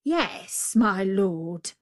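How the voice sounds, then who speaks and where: Woman acting a slow, fretful, fawning storybook jackal. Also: mid-range voice, lively intonation, dry recording, studio quality. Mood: meek, flustered. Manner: muddled, hesitant, drawn-out, fawning. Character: sly jackal playing a dim, fretful, grovelling fool. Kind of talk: children's storybook character voice.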